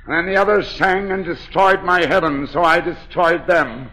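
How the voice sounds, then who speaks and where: An actor in a 1940s radio drama playing a mad, disfigured masked composer, theatrical and brooding, obsessively tender. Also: male voice, on the high side, pushed and bright. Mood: brooding, eerily tender. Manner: theatrical, slow, brooding speech that swells into frenzy. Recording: echoey 1940s radio broadcast with music.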